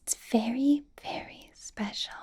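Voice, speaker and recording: A woman playing a possessive, doting female vampire boss in a whispered ASMR roleplay, coaxing softly with a sweetly sinister edge. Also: fairly high pitch. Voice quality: breathy, whispered. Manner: slow, coaxing, soft giggly delivery.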